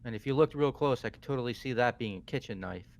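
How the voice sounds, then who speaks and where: A man's voice: mid-range voice, quick pace, creaky, close to an everyday voice. A man voicing a blunt, hot-headed teenage student with a casual way of talking in a video game. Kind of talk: amateur video game voice acting.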